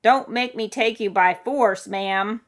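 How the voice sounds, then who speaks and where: A woman's voice: on the high side, lively intonation, fairly quick, bright, forceful tone, pitched above the performer's own voice. A woman voicing a firm, polite male emergency rescuer with a formal, urgent manner in a story reading. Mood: insistent. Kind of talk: audiobook character voice.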